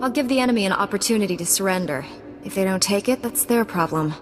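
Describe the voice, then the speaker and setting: An actress playing a calm, firm young Jedi knight in a video game, measured and resolute. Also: female voice, medium pitch, faint music behind, natural voice. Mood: determined. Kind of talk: video game cutscene acting.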